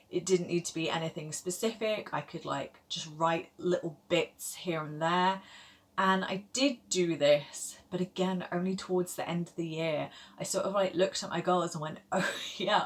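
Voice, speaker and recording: A woman in her thirties talks casually to her camera about her reading. Her voice sits low for a woman, and she rambles along with plenty of "like" and "um" and small self-deprecating laughs. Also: fairly bright tone. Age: 30s. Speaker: book vlogger.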